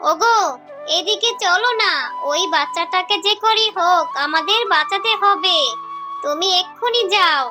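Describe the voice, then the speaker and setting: A woman's voice: very high-pitched, a little slow, music in the background. A woman voicing an anxious, kind-hearted fox mother in a children's cartoon, fretful and pleading. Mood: urgent. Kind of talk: Bengali children's cartoon voice acting.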